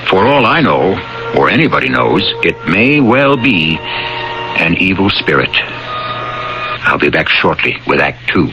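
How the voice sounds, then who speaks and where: Male radio drama host, low animated voice, deliberate ominous delivery, rhetorical, dull old broadcast. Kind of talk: theatrical scripted introduction, old-time radio. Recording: dull radio recording with music.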